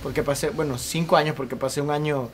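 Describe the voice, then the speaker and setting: Salvadoran guy in his 20s, earnest and chatty, kinda high voice, faint outdoor hum behind. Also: steady tone, fast talker. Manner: earnest, talks at length with ease. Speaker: singer and guest. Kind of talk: casual interview. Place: outdoor patio with plants.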